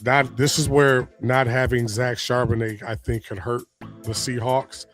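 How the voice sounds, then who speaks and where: A man's voice: mid-range voice, flat delivery, a little slow, fairly bright tone, faint music behind. A man co-hosting a sports podcast in casual chat, sounding stuffy and congested from a cold, often repeating words while he thinks. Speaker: podcast co-host.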